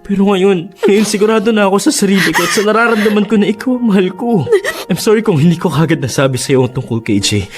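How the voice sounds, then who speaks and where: A man's voice: high voice, expressive, fast talker, background noise. An actor playing a conflicted young man, an earnest boyfriend pleading emotionally in a Tagalog radio drama. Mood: desperate pleading.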